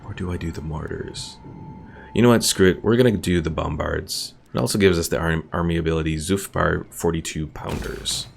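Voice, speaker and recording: Guy with a deep voice doing chill game stream commentary, some music behind him. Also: normal speed, creaky. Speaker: livestreamer, host of a gaming stream. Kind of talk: casual strategy game commentary, chatting with viewers.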